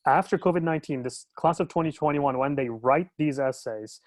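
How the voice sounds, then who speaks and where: A man's voice: fairly high pitch, a little fast, no echo, clean studio sound. A man from Turkey, an educational consultant in his thirties, talking casually in a webinar discussion, with many fillers and restarts.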